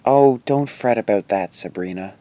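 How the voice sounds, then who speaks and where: A man playing a patronizing authority figure at a mental institute in an audio drama, speaking in a measured manner. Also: on the low side, dark tone, no room sound, lightly acted. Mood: soothing.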